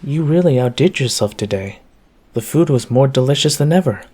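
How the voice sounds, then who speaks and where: Man acting as an affectionate boyfriend, lively intonation, slightly husky. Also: mid-range voice, moderate pace. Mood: warm, casual. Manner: tender, casual, addresses listener directly. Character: affectionate easygoing boyfriend home from work on a snowy night. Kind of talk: intimate roleplay audio acting.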